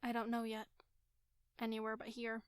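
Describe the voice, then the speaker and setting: A woman's voice: fairly high pitch, completely flat delivery, moderate pace, clean tone, dry recording, clean studio sound, lightly acted. An actress playing an embittered, worn young woman in an audio drama, speaking in a curt, bitter way. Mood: bitter, resigned.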